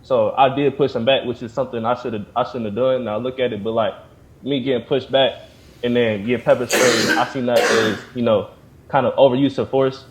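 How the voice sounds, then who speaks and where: A nineteen-year-old college student from Indianapolis, telling a story casually with ums and likes. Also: male voice, medium pitch, fairly even intonation, fairly quick, background noise. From Indianapolis, USA. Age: late teens. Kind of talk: interview testimony. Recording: dull lo-fi sound.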